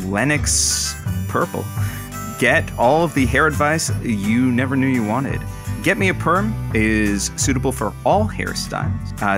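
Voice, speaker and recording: A man's voice: medium pitch, natural voice. An actor playing a droll, rambling male station announcer reading promos and credits in an audio drama. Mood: deadpan promo. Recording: roomy, loud music underneath.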